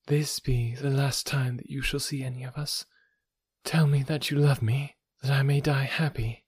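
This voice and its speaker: A man's voice: mid-range voice, fairly even intonation, normal speed, breathy edge, dry recording, pitched above the performer's own voice. A man reading aloud voices a scorned, vengeful young woman who speaks in a haughty, archaic manner. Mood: pleading. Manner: haughty, archaic stately speech.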